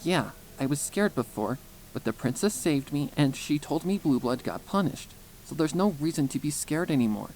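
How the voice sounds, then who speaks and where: A guarded, sullen young pony mare, read by a man for a dark storybook tale. The delivery is quiet, hesitant and muttered, with faint noise in the recording. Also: on the high side, lively intonation, moderate pace, pitched above the performer's own voice, low-quality recording. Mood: defensive.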